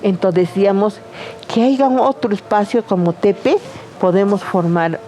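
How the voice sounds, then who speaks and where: A woman's voice: mid-range voice, a little slow, mellow, soft background music. A woman tells her story with affectionate diminutives. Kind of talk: documentary interview.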